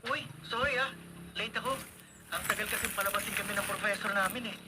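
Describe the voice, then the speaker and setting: An actor playing a friendly, considerate young college student in a radio drama. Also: male voice, high-pitched, fast, loud music behind the voice, lightly acted. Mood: apologetic. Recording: very noisy radio drama broadcast.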